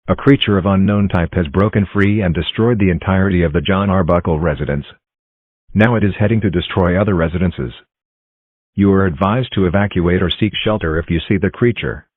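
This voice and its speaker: A man's synthetic-sounding voice reading a formal emergency broadcast announcement in a flat, impersonal way. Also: sits low, a little fast, husky, no room sound. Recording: muffled narrow-band phone-like audio, dry.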